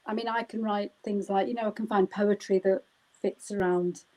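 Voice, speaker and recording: A woman's voice: mid-range voice, fairly even intonation, moderate pace, dark tone, low-quality recording. A woman celebrant answering interview questions, explaining at length with frequent "um" and "you know" fillers. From United Kingdom. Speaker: wedding celebrant. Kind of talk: live chat interview.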